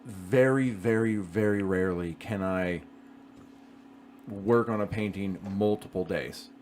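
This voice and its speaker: Lowish-voiced man in casual podcast chat. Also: unhurried, a little mellow, a little hiss or hum. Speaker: podcast co-host.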